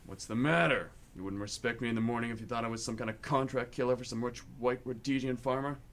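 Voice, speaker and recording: A man's voice: mid-range voice, fast talker, a bit bright, lightly acted. A man playing a menacing, boastful, drunk war veteran who bullies his siblings, taunting in folksy tough talk. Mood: boastful, needling. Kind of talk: live amateur radio theatre acting. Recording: clearly noisy amateur radio drama recording.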